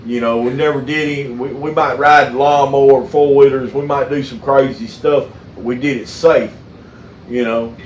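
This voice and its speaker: Middle-aged Southern US man, folksy halting storyteller, roomy echo and some background noise. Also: medium pitch, fairly even intonation. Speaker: mechanic and channel host. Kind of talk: casual phone chat with storytelling.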